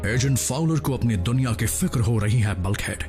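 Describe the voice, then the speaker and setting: A man voicing a calm robot leader who gives orders in a dubbed cartoon. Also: medium pitch, brisk, soft background music, lightly acted. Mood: commanding. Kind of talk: Hindi cartoon dub.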